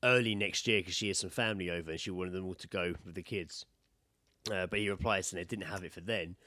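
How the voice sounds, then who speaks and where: A man's voice: deep voice, fast talker, bright, forceful tone, no room sound. A British man in his thirties co-hosting a podcast, telling anecdotes in a casual, matey, sweary chat. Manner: sweary, matey storytelling, quoting himself and others. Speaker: podcast co-host.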